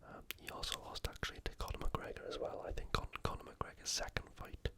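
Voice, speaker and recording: An ASMR host, speaking entirely in a breathy whisper in a casual, conversational commentary style. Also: fast talker, pushed and bright. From United Kingdom. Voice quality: whispered, unvoiced. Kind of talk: ASMR fight preview commentary.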